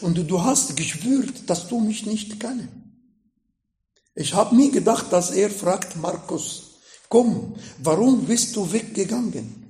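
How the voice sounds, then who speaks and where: A man with an Arabic accent preaching a sermon in slow, emphatic phrases that rise sharply in pitch. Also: animated, warm tone, reverberant. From Middle East. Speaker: pastor.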